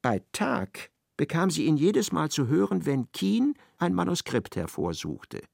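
Expressive male radio narrator. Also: fairly high pitch, unhurried, creaky, dry recording, studio quality. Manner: ironic, expressive storytelling. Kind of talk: scripted radio play narration.

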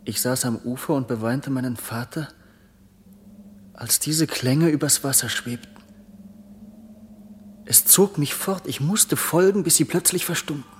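Actor as a grieving, noble young prince in reverberation. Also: male voice, medium pitch, unhurried, pauses often, a little hiss or hum, lightly acted. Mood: wondering, mournful. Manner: declamatory, reverent. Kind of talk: classic radio drama, lofty verse.

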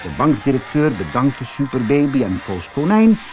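Male audiobook narrator for kids' tales: expressive, highly varied intonation, light musical backing. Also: moderate pace, faint music behind. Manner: expressive, dramatised reading. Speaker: storyteller. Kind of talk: scripted children's audiobook narration.

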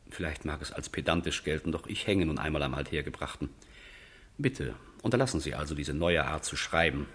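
An actor playing a pedantic, pompous envoy who loves order, in a period radio drama, fussy and condescending with a husky voice. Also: male voice, deep voice, heavily acted.

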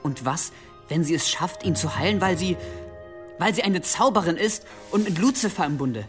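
An actor playing a sulky, indignant, pious young monk in a medieval radio drama, speaking petulantly. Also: male voice, sits high, lively intonation, moderate pace, music in the background. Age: young adult. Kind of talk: German radio drama acting.

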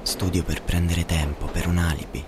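An actor playing a grim, disillusioned student in a poetic radio monologue, with measured, incantatory phrasing, recorded over noisy ambience. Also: male voice, low voice, flat delivery, lightly acted. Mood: grim, questioning. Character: grim, accusing young man, disillusioned student.

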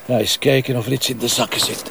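A man's voice: mid-range voice, steady tone, creaky, noisy background, lightly acted. A man playing a brusque, impatient Flemish police commissioner who questions witnesses in a detective drama. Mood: probing. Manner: brisk, probing, exasperated with subordinates. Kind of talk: naturalistic detective drama dialogue.

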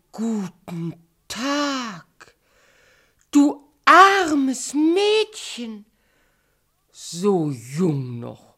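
A woman's voice: high-pitched, pushed and bright, exaggerated voice. An actress playing a sly, evil queen posing as a fruit seller in a fairy-tale radio play, theatrical, wheedling and falsely caring. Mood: sing-song hawking.

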